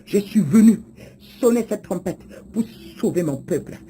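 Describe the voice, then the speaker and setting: Prophetic preacher, raspy voice, widely swinging intonation, repeated accusatory phrases, declamatory delivery. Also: medium pitch, animated, normal speed, mellow. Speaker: self-proclaimed prophet speaking as God. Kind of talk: prophetic denunciation sermon.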